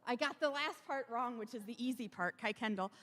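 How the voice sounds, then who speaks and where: A woman with a slightly high voice introduces a guest speaker to a church assembly. She talks plainly and stumbles briefly over a name. The large hall carries her voice with a long echo. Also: brisk, fairly bright tone. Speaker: assembly presenter.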